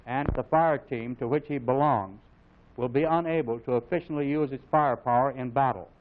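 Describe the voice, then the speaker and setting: An American man reading scripted military instructional narration in a formal, authoritative, measured way, as on a mid-century training film. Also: mid-range voice. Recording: phone-like vintage film soundtrack, narrow band.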